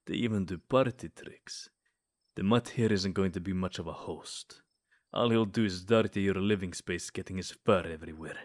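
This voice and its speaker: A man's voice: low-pitched, normal speed. A man playing a smug, possessive, lovesick vampire in a comedic ASMR roleplay, theatrical and taunting one moment and sweet-talking the next. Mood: smug wooing.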